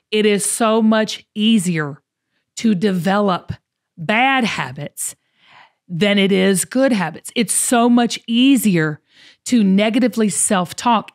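A woman hosts a casual talk show. Her pitch is mid-range, her manner folksy and full of agreement, and the sound is close and dry. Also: dry recording. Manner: folksy, affirming interjections. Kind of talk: casual chat.

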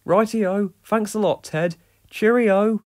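A woman's voice: medium pitch, steady tone, slow pace, a little mellow, voice pushed up, natural voice. A woman voicing a cheerful, friendly village postman who chats in an easygoing, good-humoured way.

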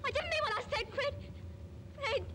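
A frightened young woman in an old film drama, calling out in a very high, pleading voice. The soundtrack is old and clearly noisy. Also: moderate pace. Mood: frantic, pleading. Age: young adult. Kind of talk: 1950s British film acting.

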